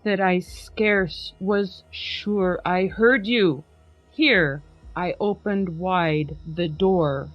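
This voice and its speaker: A woman podcast host giving a dramatic poetry reading, trading lines with a co-reader in a measured way. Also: on the low side. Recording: slightly muffled with faint music.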